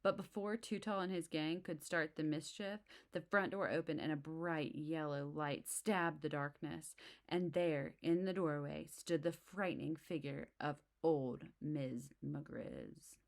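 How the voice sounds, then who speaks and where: Low-voiced woman reading a children's story. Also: unhurried, gravelly. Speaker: storybook reader. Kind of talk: expressive read-aloud for young children, with asides.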